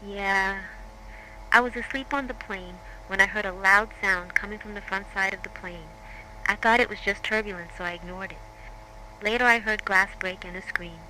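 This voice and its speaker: A bright, forceful female voice over faint noise. An actress plays a shaken survivor in a horror drama, recounting events plainly and in order at a middling pitch. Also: steady tone, moderate pace, poor audio. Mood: subdued. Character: shaken female plane crash survivor giving testimony. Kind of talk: scripted first-person account in horror drama.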